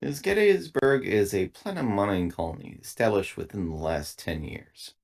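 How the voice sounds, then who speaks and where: A man playing a formal, literal-minded android crew member who speaks precisely and stiffly, with calm menace. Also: lowish voice, normal speed, vocal fry, heavily acted. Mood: precise, informative.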